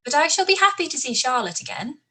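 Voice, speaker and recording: Woman acting a lively, witty young gentlewoman. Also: sits high, quick pace, full character voice. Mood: arch, polite. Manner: arch, composed. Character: witty, spirited young gentlewoman, arch but polite. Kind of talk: radio play live read.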